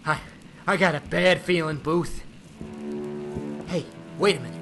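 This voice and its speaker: A man's voice: a little high, unhurried. Male actor as a jumpy, superstitious controlman. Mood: alarmed. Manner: uneasy, grumbling. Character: nervous, superstitious airfield controlman, older-pal type, reluctant. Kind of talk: old time radio drama acting. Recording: loud music and storm effects behind voice.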